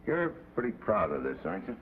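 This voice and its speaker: Very deep-voiced, blunt American agent. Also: male voice, wildly swooping pitch, quick pace. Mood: challenging, sardonic. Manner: clipped, pressing, sardonic. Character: blunt, hard-nosed American special agent. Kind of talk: 1950s television drama acting. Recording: tinny, hissy vintage TV soundtrack.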